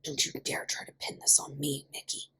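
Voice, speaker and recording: A woman's voice: moderate pace, pushed and bright, natural voice. A woman voicing an anxious male inmate in an audio story, urgent and fiercely worried for his partner. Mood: furious worry. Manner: urgent, often harsh whispering.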